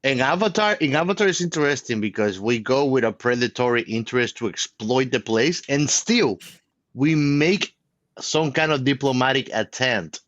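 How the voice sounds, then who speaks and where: A man's voice: mid-range voice, normal speed, a bit bright, no room sound. A man debating as a podcast co-host, emphatic and somewhat formal in his wording, on a slightly lo-fi microphone. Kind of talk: casual debate.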